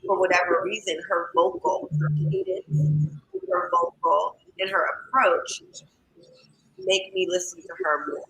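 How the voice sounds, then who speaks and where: A singer and songwriter with a raspy, scratchy voice, chatting candidly as an interview guest, rambling with many fillers and restarts. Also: mid-range voice, steady tone, unhurried, bright, forceful tone. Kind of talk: casual interview chat.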